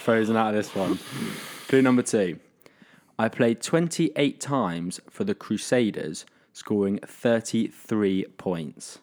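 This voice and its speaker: A man hosts a relaxed podcast quiz, reading clues aloud. His voice sits a little low, and he stumbles through fillers and breaks into laughter easily. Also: slow. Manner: laughs often, hesitant fillers. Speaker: podcast host running a quiz. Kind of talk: casual podcast chat.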